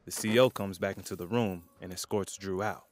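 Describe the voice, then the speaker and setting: A man's voice: low voice, steady tone, brisk, a little hiss or hum. A man reading scripted scene narration for an audio drama as its narrator.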